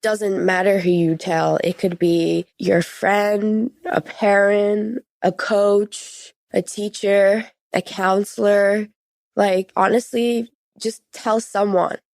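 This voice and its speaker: A woman's voice: mid-range voice, level tone, slow pace, gravelly, darkish tone, no echo. A teenage young woman talking as a podcast guest, in casual, earnest, conversational phrasing. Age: teens. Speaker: podcast guest sharing personal experience. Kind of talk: conversational interview.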